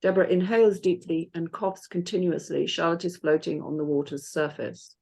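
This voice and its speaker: A woman's voice: lowish voice, fairly even intonation, normal speed, gravelly, darkish tone, breathy edge. A woman reading out stage directions as scripted narration.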